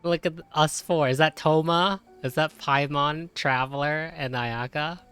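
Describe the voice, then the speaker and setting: A woman's voice: low voice, fairly even intonation, unhurried, fairly bright tone, smooth. A woman giving casual, chuckly live reactions as she plays a video game. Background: game music.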